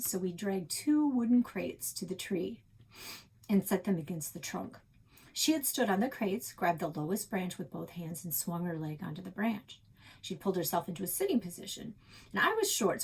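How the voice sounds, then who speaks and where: A woman reading a children's book aloud in an expressive storytelling style, with a slightly husky voice. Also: medium pitch, low-quality recording. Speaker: library read-aloud reader.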